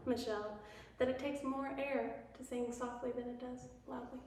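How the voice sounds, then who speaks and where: Very echoey, high bright woman's voice. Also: steady tone, moderate pace. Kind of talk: casual chat during a lesson. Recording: very echoey room, distant mic, faint noise.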